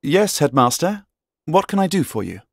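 An actor playing an inquisitive, polite professor in a video game, with eloquent, formal and theatrical speech. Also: male voice, fairly high pitch, sing-song, normal speed, vocal fry, exaggerated voice. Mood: composed. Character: inquisitive, polite, unflappable male scholar professor.

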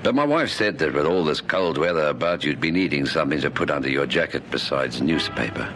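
An actor playing a kindly, patient police warrant officer in a radio drama, speaking in a plain, reassuring manner. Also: male voice, extremely low pitch, lively intonation, a little fast, a bit bright. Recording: noisy old radio drama recording.

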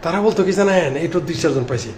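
A Bangladeshi man in his late fifties talks informally to live viewers through a phone, with clear background noise. His voice sits a little high. He rambles, circles back over phrases and needles with sarcasm as he comments. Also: lively intonation, fast talker, a little mellow. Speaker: live-stream political commentator. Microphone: phone at arm's length. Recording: clearly noisy phone recording.

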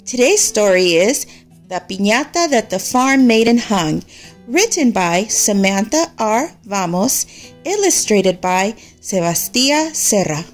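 A woman narrating a children's story in an expressive, measured way, as a read-aloud storyteller. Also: medium pitch, faint music behind.

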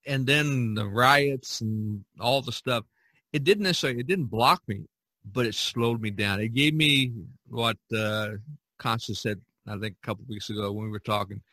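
A man's voice: mid-range voice, normal speed, bright, forceful tone, no room sound, low-quality recording. A man hosting a casual podcast, talking in a rambling, folksy way and repeating himself. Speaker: podcast host.

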